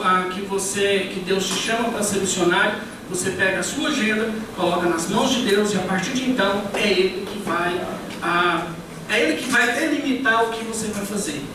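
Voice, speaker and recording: A middle-aged man with a Goiás Brazilian accent, preaching as a guest pastor in a relaxed, conversational way with many fillers. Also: high-pitched, moderate pace, recorded from a distance. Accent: Goiás Brazilian Portuguese. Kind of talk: church sermon. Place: church hall. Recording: echoey church hall, picked up from afar, noisy.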